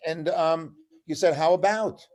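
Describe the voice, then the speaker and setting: Higher-voiced man telling casual anecdotes. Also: a little slow, a little mellow. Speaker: panel guest, comedy writer recalling his career. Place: video call from New York.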